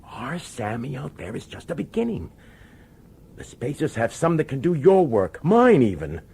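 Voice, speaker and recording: A man's voice: medium pitch, huge pitch swings, moderate pace, creaky, darkish tone, slight background noise. An actor playing a middle-aged male police commissioner in a radio drama, nervous and insistent, pleading with urgency. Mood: agitated, urgent. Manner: urgent, hesitant, pleading authority.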